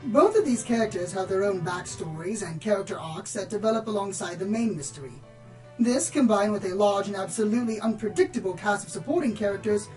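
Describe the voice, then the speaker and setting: A woman's voice: mid-range voice, a little mellow, lightly acted, poor audio. A woman playing a bookish, slightly prim pony book reviewer in a radio play, speaking formally and articulately. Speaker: on-air book presenter.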